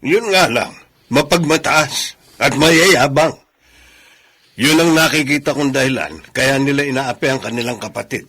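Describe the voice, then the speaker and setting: A firm older Filipino man with a husky, mid-pitched voice. He is fair-minded and critical, speaking in radio drama style. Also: moderate pace, pushed and bright. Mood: disapproving. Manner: firm, reasoning.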